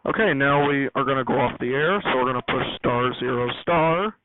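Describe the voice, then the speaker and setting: A man's voice: mid-range voice, brisk. A man giving an unscripted technical walkthrough as a hobbyist host, rambling and correcting himself as he explains. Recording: noisy, tinny phone-like audio.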